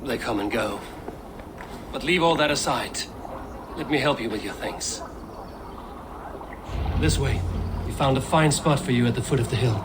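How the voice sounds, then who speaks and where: A man's voice: mid-range voice, normal speed, long pauses, background noise, close to an everyday voice. A man playing a stoic, loyal Viking clan leader in a video game, speaking plainly and with restraint. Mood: reserved, earnest. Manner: restrained, plain-spoken, earnest. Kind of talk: dramatic video game acting.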